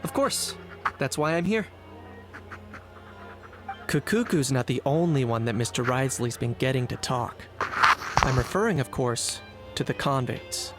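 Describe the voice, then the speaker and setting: An actor playing an observant, composed investigator in a fantasy game, speaking in a measured, analytical way. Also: male voice, medium pitch, close to an everyday voice. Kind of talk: scripted game story dialogue. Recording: loud game music behind voice. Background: game music.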